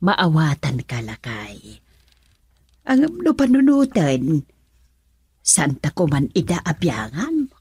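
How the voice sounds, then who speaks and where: A woman acting an elderly wife in a radio drama, speaking slowly and questioning her old husband. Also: low-pitched, huge pitch swings, warm tone, heavily acted. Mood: worried.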